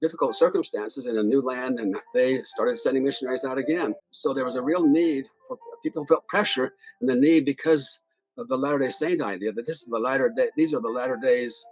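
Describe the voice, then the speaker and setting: An older man who speaks in a measured, explanatory way as a retired professor recounting history. He steps through dates and events in order. Soft music sits faintly behind his voice. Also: medium pitch, low-quality recording. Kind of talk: explanatory interview.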